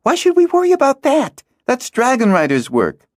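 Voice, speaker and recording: A frustrated young girl pleads in a high, drawn-out voice, as a male audiobook narrator puts her on. Her pitch swings wildly, leaping toward a shriek. The sound is dry and close. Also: huge pitch swings, no echo, full character voice. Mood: exasperated.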